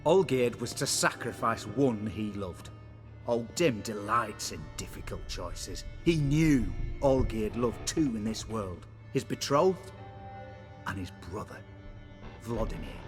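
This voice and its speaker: A man's voice: on the low side, lively intonation. A man playing a learned, dramatic occult scholar in a video game, speaking cryptically and posing rhetorical questions. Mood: ominous storytelling. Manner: cryptic, rhetorical, drawn-out phrasing. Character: learned, dramatic male occult scholar explaining evil pacts. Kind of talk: theatrical video game dialogue. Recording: music behind the voice.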